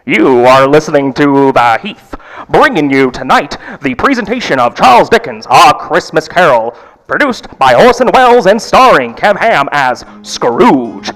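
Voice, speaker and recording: An actor playing a formal, declamatory American radio network announcer in the style of the 1930s and 40s. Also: male voice, a little high, big pitch swings, unhurried, a bit bright. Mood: formal, promotional. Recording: echoey hall, music behind.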